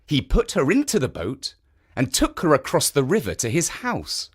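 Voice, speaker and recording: A man narrating a children's story in an expressive, theatrical storytelling manner. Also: fairly high pitch, normal speed, breathy edge.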